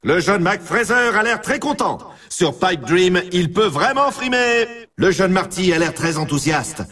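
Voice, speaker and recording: An excitable snowboard announcer from a video game, swooping widely through scripted race commentary. His voice has a rough, husky grain and sits slightly back from the microphone. He stretches words for showman-like drama. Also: sits high, measured pace, far from the mic, exaggerated voice. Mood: hyped, confiding.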